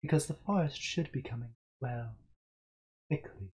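A man plays a tired woman of few hurries, pipe in hand. The game character speaks in sighs, slowly and calmly, at a middling pitch. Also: husky, lo-fi sound. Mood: weary, calm. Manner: slow, sighing delivery. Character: weary, sighing woman who smokes a pipe.

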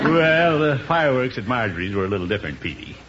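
An actor playing a jovial, blustering middle-aged uncle who chuckles and protests in 1950s radio comedy style. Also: male voice, medium pitch, lightly acted. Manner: chuckling, exclaiming, self-justifying. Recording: noisy, muffled 1950s radio recording.

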